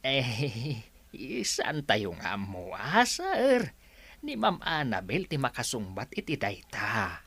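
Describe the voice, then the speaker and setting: A woman's voice: a bass voice, wildly swooping pitch, slow, fairly bright tone, breathy edge, no room sound, exaggerated voice. Woman doing a stammering, meek male servant. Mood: fretful. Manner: stammering, fretful. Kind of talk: radio drama acting.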